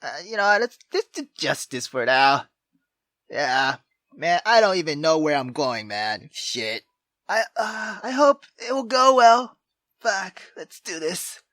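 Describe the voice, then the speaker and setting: Bright, swooping, rambling gaming host. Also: medium pitch, expressive, takes it slowly, no room sound, studio quality. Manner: hesitant, rambling, sweary. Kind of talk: casual let's play commentary with reading aloud.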